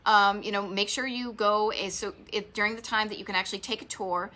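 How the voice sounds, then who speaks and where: A woman's voice: medium pitch, fairly even intonation, fairly quick, bright, forceful tone. A middle-aged woman hosting a casual live stream, chatting in a rambling way and turning aside to greet viewers. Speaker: host of a live travel show.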